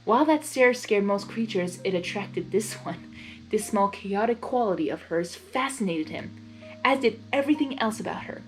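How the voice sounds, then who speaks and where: A woman's voice: mid-range voice, expressive, normal speed, low-quality recording. A woman reading a story aloud expressively, with casual, informal asides in a giggly manner. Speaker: fan fiction reader. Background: music.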